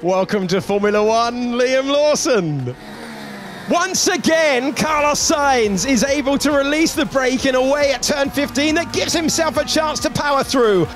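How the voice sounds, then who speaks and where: Very high-pitched excited male race commentator. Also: unhurried. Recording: loud music behind commentary. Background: music and racing car engines.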